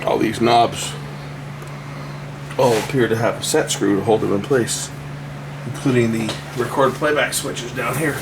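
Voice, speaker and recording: Man thinking aloud, steady background noise. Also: medium pitch, unhurried, pauses often. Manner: unscripted, thinking aloud as he works. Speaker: electronics repair video host. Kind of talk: casual hands-on repair commentary.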